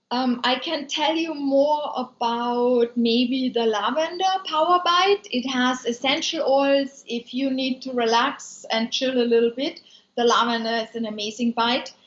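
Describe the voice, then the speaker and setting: A woman's voice: high-pitched, a little slow, fairly bright tone, a little hiss or hum. A woman from Austria with an Austrian German accent, chatting loosely on a live stream, answering viewer comments with many ums. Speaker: food maker and business owner. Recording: echoey room, dull lo-fi sound.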